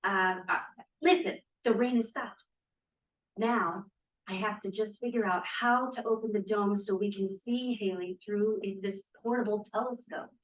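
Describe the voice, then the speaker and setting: An actress playing an eager, excitable young woman activist in a table read of a play. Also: mid-range voice, moderate pace, close to an everyday voice. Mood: excited. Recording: roomy, distant room microphone.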